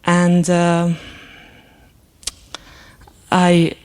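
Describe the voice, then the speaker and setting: Woman, native Hungarian speaker, slow unhurried delivery, lowish voice, halting with restarts, reflective lecture talk. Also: monotone, darkish tone. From Transylvania, Romania. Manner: halting, many fillers and restarts. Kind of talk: personal story in a lecture.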